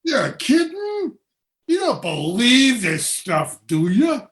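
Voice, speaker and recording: Man acting scoffing working-class husband, radio drama, animated pitch, slow slangy delivery. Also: heavily acted.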